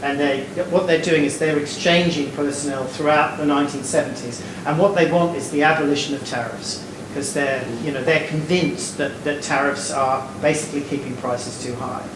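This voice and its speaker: Panelist, male, wry and digressive, slightly higher voice, echoey room with steady hum. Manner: fast, digressive, wry, many fillers. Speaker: lecturer. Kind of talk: panel discussion answer. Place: stage before a live audience in a hall. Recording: echoey hall, air conditioning hum.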